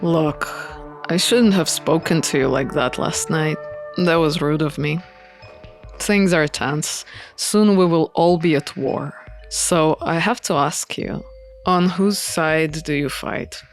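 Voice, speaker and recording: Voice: medium pitch, takes it slowly, voice pushed down. Someone voicing a reserved, formal man over music. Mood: grave, earnest. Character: Felix, reserved, scowling man in a dream.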